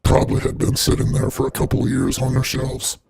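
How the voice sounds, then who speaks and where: Very gravelly, husky man through voice changer. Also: medium pitch, monotone, brisk, a little mellow. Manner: sweary, irreverent. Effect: voice changer processing. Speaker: unboxing video narrator. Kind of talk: casual scripted product unboxing voice-over.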